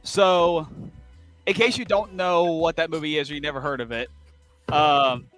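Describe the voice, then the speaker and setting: Easygoing young man, slightly higher voice. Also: steady tone, normal speed, over music. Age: 20s. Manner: easygoing, talks with filler words. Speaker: podcast co-host. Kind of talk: casual chat.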